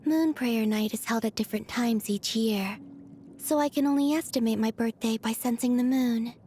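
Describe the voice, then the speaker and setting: A woman voicing a serene, otherworldly young moon maiden from a video game, soft and breathy, with a gentle, naive curiosity. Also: fairly high pitch, a little hiss or hum, lightly acted. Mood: innocently curious. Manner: slow, dreamy, innocently curious. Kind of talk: video game character voice lines.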